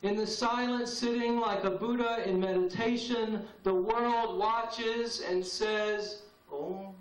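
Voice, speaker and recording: High-voiced man reciting poetry slowly. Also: steady tone. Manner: slow, solemn, incantatory delivery with long pauses. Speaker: poet performing at an open mic. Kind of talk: spoken-word poetry performance. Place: open mic night in a cafe. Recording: roomy, distant VHS tape recording.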